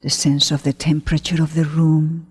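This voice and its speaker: A woman leading a guided meditation as a teacher, gentle and unhurried, pausing often between short phrases in a breathy voice. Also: low-pitched, flat delivery. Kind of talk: guided meditation, spoken slowly to listeners. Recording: long hall-like reverb.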